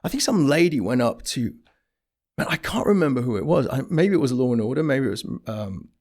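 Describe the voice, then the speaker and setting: A man born in England and raised in Switzerland, an actor guest chatting casually and telling animated stories. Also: medium pitch, a little fast. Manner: animated storyteller, swings between eager and soft asides. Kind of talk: casual interview chat.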